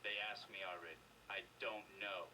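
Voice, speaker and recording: An actor playing a stubborn, weary prisoner under interrogation in a video game, curt and resentful. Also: male voice, low voice, steady tone, moderate pace, recorded from a distance, background noise, lightly acted. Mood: defiant.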